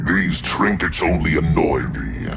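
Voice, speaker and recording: An actor voicing a bloodthirsty, mocking demonic male scythe in a game, with a deep gravelly growl. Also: expressive, measured pace, over loud music, full character voice. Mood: sneering. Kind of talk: game voice lines.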